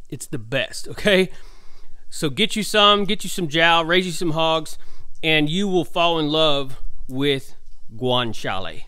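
A man's voice: fairly high pitch, sharp tone, clear, resonant tone, no room sound. A man, cooking at home and chatting enthusiastically to his viewers in a folksy way. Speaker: farm vlogger. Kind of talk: casual cooking vlog. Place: indoor home kitchen.

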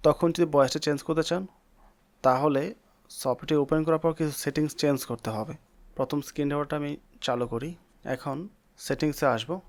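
Fast-talking man hosting a tutorial. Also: medium pitch. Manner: casual explaining, peppered with English words. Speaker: tech tutorial host. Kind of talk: screen-recorded software tutorial.

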